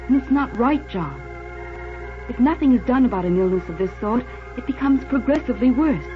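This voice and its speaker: A woman's voice: a little high, lightly acted. An actress playing a concerned wife in 1940s radio drama style, earnestly pleading as she voices a letter. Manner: earnest, pleading, careful diction. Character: concerned, earnest wife writing to her troubled husband. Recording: tinny old radio broadcast over organ music.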